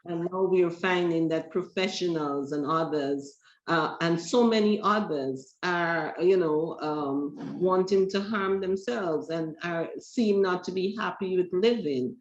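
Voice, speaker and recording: A woman from Guyana, a researcher, presenting her work in an academic webinar in a measured, deliberate way. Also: fairly low pitch. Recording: echoey, dull call audio.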